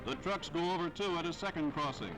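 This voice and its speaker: A man's voice: fairly high pitch, fairly quick, poor audio. A man delivering scripted 1940s newsreel narration in a formal, declamatory way with dramatic phrasing. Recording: vintage 1940s newsreel soundtrack, loud music.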